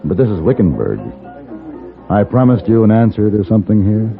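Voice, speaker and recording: An actor playing a suave, courtly gunfighter for hire with dry, polished speech in a radio Western. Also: male voice, on the low side, animated. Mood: cool, dismissive. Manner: polished, wry, measured gentlemanly speech. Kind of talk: 1950s radio Western acting. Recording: tinny 1950s radio recording with music.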